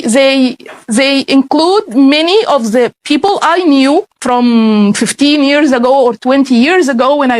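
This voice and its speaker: A woman from Egypt, giving an earnest, measured prepared statement as a guest speaker. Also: high-pitched, no room sound.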